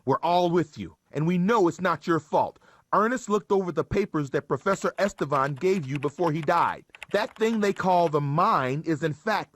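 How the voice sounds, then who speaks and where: Earnest higher-voiced male mission ally. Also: a bit bright. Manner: earnest, explanatory, supportive. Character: earnest male ally briefing a cyborg cop. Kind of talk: video game mission briefing. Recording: keyboard clicks, faint background noise.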